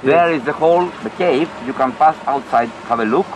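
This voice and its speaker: A man's voice: on the high side, a little fast. A middle-aged man from Corfu, a boat rental captain, giving short, clipped instructions in non-native English on an open boat at sea. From Corfu, Greece. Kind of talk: casual instructions. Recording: noisy outdoor boat audio.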